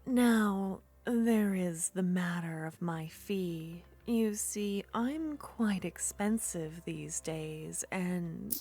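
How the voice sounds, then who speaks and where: A woman voicing a lonely, possessive young witch in an ASMR roleplay, soft-spoken and slipping into whispers as she coaxes and flatters. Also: on the low side, very slow, few pauses, slight background noise. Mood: coaxing.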